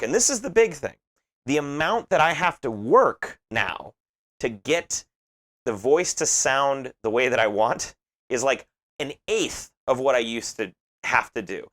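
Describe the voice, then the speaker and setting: A man's voice: mid-range voice, fairly bright tone. Brief: man, late 30s, metal singer being interviewed, earnest, restarts his sentences. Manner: earnest, repeats words while thinking aloud. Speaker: metal band lead singer. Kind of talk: conversational interview answers.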